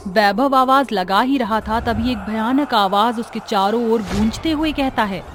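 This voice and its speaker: A woman's voice: fairly high pitch, unhurried, slight background noise. A woman telling a horror story as a scripted, dramatic narration. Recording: music under the voice.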